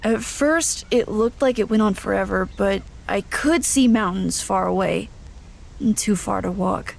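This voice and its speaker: A woman playing an uneasy young wanderer, narrating in the first person for an audio drama, plain and halting. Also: medium pitch, vocal fry, darkish tone. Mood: wary, recounting.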